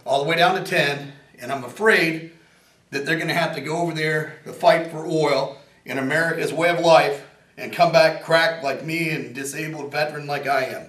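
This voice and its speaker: A man in his forties with a raspy voice, an inventor talking in a casual, rambling and earnest way, sometimes joking about himself. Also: fairly high pitch, steady tone, normal speed, a bit bright. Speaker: inventor and veteran interviewee. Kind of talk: casual interview talk. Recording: roomy echo, from a distance.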